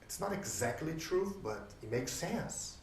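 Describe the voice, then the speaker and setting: A man whose first language is Portuguese, musing aloud as host in a reflective solo talk, pausing often and posing questions to the listener. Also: on the high side, very animated, slight background noise. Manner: thoughtful, pauses often, rhetorical questions. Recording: roomy, distant from the mic, faint ambience.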